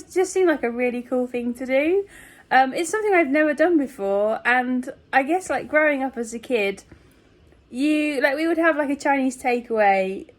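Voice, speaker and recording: A woman with a fairly high voice talks casually to her viewers as a vlogger. Her delivery is chatty and meandering, in the style of an informal video diary, with a giggly manner.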